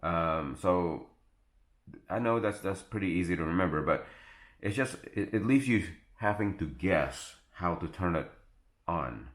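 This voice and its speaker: A man giving a casual, unscripted gadget review, explaining things in a halting, self-correcting way. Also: low-pitched, lively intonation. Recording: echoey, roomy sound.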